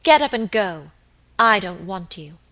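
A woman's voice: mid-range voice, lively intonation, normal speed, fairly bright tone, natural voice. A woman voicing a haughty lady in an audiobook, cold, hard and sternly contemptuous. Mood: scornful, commanding. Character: cold, haughty, fierce lady scolding her maid.

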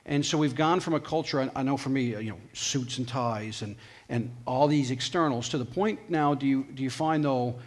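A man moderating a conference panel, posing a long, animated question to the panelists. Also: medium pitch, fairly quick. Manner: animated, builds up his question at length.

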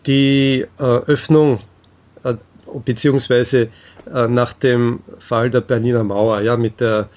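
A man is being interviewed. His voice sits at an average pitch. He explains things in a measured, halting manner, often hesitating as he goes. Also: level tone, a little mellow, muffled recording.

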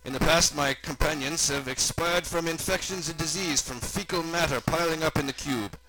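A man puts on an eccentric German mad scientist for a comic story. The voice is pushed higher, with a thick mock German accent. He is sinister and gleeful, savoring each word theatrically and dropping in German. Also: moderate pace, over loud music, lightly acted, rough recording. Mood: gloating, sinister. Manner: theatrical, over-enunciated, mixing in German words.

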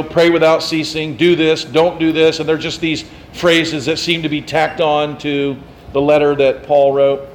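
A man's voice: a little high, slight background noise. A man preaching a sermon as pastor, in a conversational way, asking the congregation questions and teasing them.

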